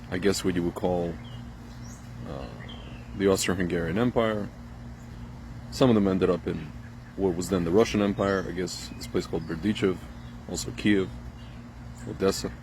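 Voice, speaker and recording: A low-voiced man from Ukraine talks straight to his viewers, circling his point with fillers and restarts. His voice sits deep, and a faint noise hums behind him. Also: a little slow, pauses often. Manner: rambling, addresses viewers directly, many fillers and restarts. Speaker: vlogger. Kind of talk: casual opinion monologue to camera.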